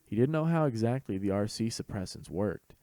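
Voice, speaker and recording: A man's voice: lowish voice, expressive, a little fast, warm tone, dry recording. A man reading scripted fan fiction as the narrator of an audio story.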